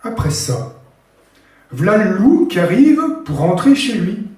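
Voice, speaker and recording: A man with a hoarse, damaged voice telling a rural folk tale at an open stage. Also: high-pitched, slow pace. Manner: lively, animated storytelling. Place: live open-mic stage in a hall. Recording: very echoey hall from far off, faint guitar.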